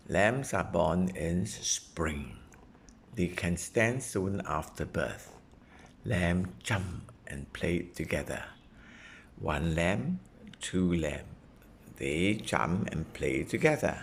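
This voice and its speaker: A man reading a children's nonfiction book aloud as a parent would, in a deliberate way suited to a young child. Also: low voice, big pitch swings, gravelly, slight background noise. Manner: deliberate, repeating and simplifying phrases for a child.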